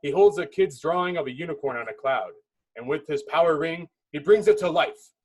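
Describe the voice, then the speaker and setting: A man with a fairly high, husky voice narrates a screenplay. He reads the stage directions dramatically, as scripted narration. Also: lively intonation, a little fast, low-quality recording.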